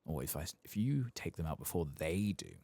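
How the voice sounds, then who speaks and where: A man's voice: extremely low pitch, very animated, moderate pace, creaky, warm tone, dry recording, full character voice. A man voicing a chummy, informal game quest-giver who likes a wager, with a casual, folksy manner. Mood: chummy, scheming.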